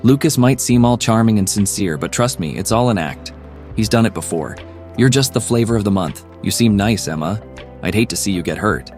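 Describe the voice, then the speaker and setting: A man's voice: lowish voice, expressive, fairly quick, a little mellow, natural voice. A man playing a nonchalant, slyly meddling teenage boy in an amateur romance drama, speaking with confidence. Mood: smugly warning. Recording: music behind the voice.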